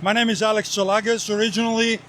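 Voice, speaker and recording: High-voiced Greek-accented man. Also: little pitch movement, fast talker, a bit bright. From Greece. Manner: earnest sales pitch, lists products. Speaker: coffee vendor. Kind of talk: outdoor event interview. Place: outdoor race event.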